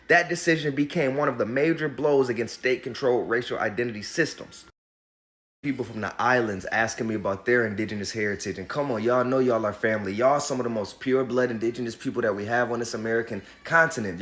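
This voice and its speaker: A man's voice: mid-range voice, fairly bright tone. A man talking straight to his followers in a loose, slangy way, calling them y'all and preaching at them. His voice sits in an ordinary middle register. It sounds like a casual online video. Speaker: online commentator promoting a service. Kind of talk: casual social media monologue.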